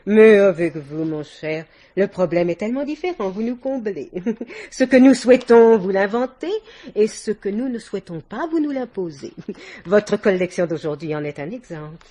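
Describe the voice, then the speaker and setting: A woman's voice: mid-range voice, lively intonation, moderate pace, dark tone, natural voice. An actress playing a worldly, domineering older society woman in a vintage French radio drama, theatrical and effusive with little laughs. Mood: gushing, flattering.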